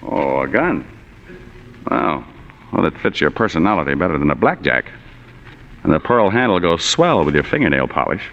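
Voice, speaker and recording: An actor playing an unflappable, sardonic, slangy hard-boiled private detective in 1940s radio acting style. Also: male voice, low-pitched, big pitch swings, moderate pace, vocal fry, long pauses, fairly bright tone, slight background noise, heavily acted. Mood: wry. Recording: dull vintage radio recording, long hall reverb.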